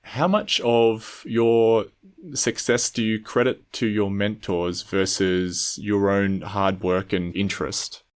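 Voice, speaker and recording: A man with an Australian accent hosting a podcast, reading a formal introduction and asking measured interview questions. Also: fairly low pitch, fairly even intonation, clear, resonant tone, no echo, studio quality. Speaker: podcast host.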